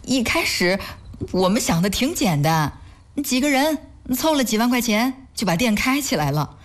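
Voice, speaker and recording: An actress playing a caring, soft-hearted wife devoted to charity in a sentimental audio drama, speaking earnestly in a slightly husky voice. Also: female voice, a little high, big pitch swings, normal speed. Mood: earnest, grateful.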